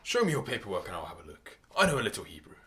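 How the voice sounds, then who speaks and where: Immigrant householder, played by a man: startled yet helpful, quick, animated, mid-pitched, radio drama. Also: noisy background.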